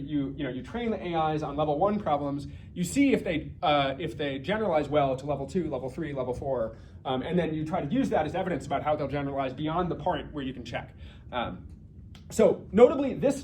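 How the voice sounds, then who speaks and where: A man's voice: on the high side, darkish tone, slight background noise, poor audio. A man giving an informal technical lecture as a researcher, thinking aloud and often restarting and correcting himself.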